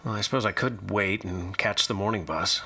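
An actor playing a curious young student traveler in a radio drama, uneasy and bookish, with hesitant, earnest phrasing. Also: male voice, on the low side, normal speed, vocal fry, pushed and bright, slightly rough, exaggerated voice. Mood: curious, eager. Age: young adult.

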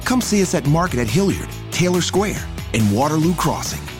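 A man's voice: on the high side, very animated, normal speed. A man reading a scripted promotional radio advert as its announcer. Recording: produced ad over prominent music. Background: music.

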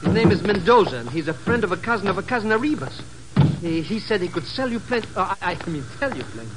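An actor playing an excitable, chatty small-time hustler with a foreign European accent in an old-time radio drama. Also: male voice, high voice, quick pace. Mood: excited, boastful. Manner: chatty, slangy, garbled wording, flustered. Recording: noisy vintage 1950s radio recording.

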